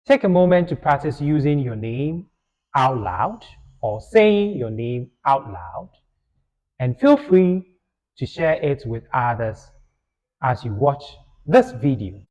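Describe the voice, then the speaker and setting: A male language tutor speaks slowly and deliberately, with wide swings in pitch. His voice is soft-edged and mellow, and he carefully repeats phrases for beginners. The recording carries a long, hall-like reverb. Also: sing-song, dull audio. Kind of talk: scripted beginner language lesson.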